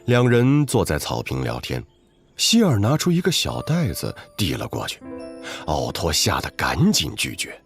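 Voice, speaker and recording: A man narrates a story in a low register. His scripted delivery is dramatic, with lively swings in intonation. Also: normal speed, gravelly, faint music behind.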